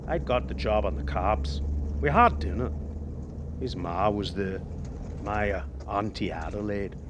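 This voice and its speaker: An actor playing a haunted former cab driver telling a grim memory in a radio play, halting and emotive. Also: male voice, lowish voice, noisy background, natural voice. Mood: bitter, reminiscing. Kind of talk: dramatic radio play monologue.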